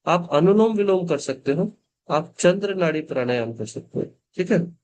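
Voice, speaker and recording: Guy teaching yoga online, kinda high voice, calm and reassuring, mixes in english words. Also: moderate pace. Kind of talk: online yoga class instruction. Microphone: video call.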